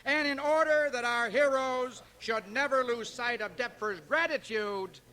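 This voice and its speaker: An actor playing a pompous small-town reeve, giving a grandiose ceremonial speech in a radio drama. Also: male voice, very high voice, sharp tone, heavily acted. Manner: grandiose, drawn-out, declamatory. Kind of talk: stage oratory in a radio drama. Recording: home cassette transfer of 1980 radio broadcast.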